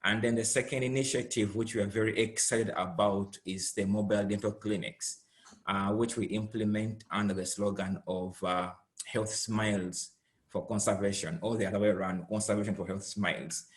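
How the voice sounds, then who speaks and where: A man's voice: fairly low pitch, fairly even intonation, moderate pace. A man with a Ugandan accent speaking as a conservationist on an online panel, explaining his project. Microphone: video call.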